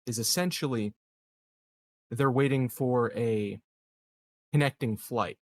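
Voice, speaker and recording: Calculating sci-fi colony boss played by a man, mid-pitched, formal, diplomatic and guarded, tabletop roleplay. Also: dry recording, lightly acted. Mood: measured, guarded. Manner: formal, measured, halting diplomatic phrasing. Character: calculating, evasive male colonial leader, smooth politician. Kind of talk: improvised tabletop roleplay dialogue.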